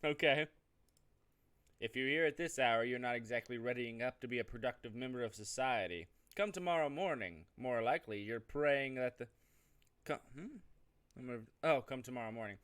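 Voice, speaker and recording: A man's voice: mid-range voice, expressive, moderate pace, a bit bright. Man, mid-range voice, casual game commentary, reading text aloud, rambling, jokey. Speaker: host of a gaming show.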